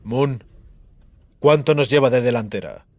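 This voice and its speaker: A man voicing a cold, calculating bounty hunter, commanding and deliberate, in a fantasy audiobook. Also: mid-range voice, expressive, darkish tone, no room sound. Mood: pensive. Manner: deliberate, brooding, murmuring.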